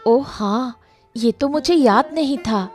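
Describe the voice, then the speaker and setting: A woman voicing a practical village wife and homemaker in an animated moral story. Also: high voice, slow, soft background music. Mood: impatient.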